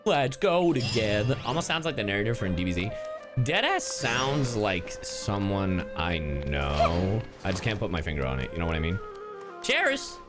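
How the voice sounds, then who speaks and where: A man's voice: on the low side, huge pitch swings, normal speed, music in the background. A man giving casual game reaction commentary as a streamer, slangy and joking.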